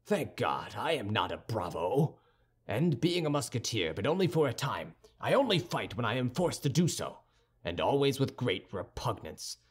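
A man voicing a haughty, sardonic young musketeer in an audiobook, formal, measured and condescending. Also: mid-range voice, very animated, husky. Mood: grave, cool. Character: cool, haughty young musketeer with churchly airs, dryly sardonic. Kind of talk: audiobook character dialogue.

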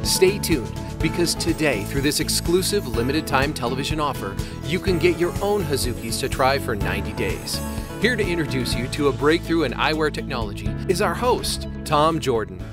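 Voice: medium pitch, expressive, normal speed. An announcer reading a commercial voice-over. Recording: voice-over over loud music.

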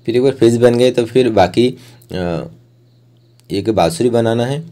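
A young man gives a step-by-step instructional voice-over, explaining plainly and pausing mid-sentence to find his words. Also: medium pitch, warm tone. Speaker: art tutorial host.

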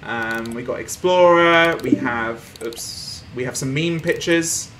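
A young man casually commenting on a desktop game as he plays, reading posts aloud and laughing softly, recorded at home with faint background noise. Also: medium pitch, takes it slowly. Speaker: gaming streamer. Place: small room at home, indoors.

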